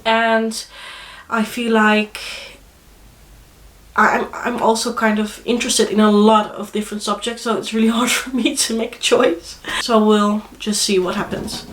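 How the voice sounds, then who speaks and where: A woman's voice: fairly high pitch, flat delivery, a little slow, roomy sound. A young woman from the Netherlands with a Dutch accent, speaking with a cold in a chatty, hesitant vlog to camera. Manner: chatty, hesitant, many fillers and restarts. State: congested with a cold. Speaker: vlogger. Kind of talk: casual chat to camera.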